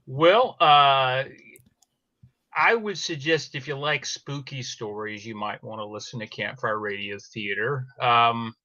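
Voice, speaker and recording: A man speaking as a podcast guest in a casual, explanatory chat, with halting, hesitant phrasing. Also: mid-range voice, sharp tone, dry recording, lo-fi sound.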